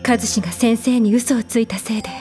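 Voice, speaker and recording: Woman acting a worried elder sister, higher-pitched. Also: steady tone, fairly quick, dark tone, faint music behind, natural voice. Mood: sad, reflective. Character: troubled, caring adult elder sister confiding family history. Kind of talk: audio drama dialogue.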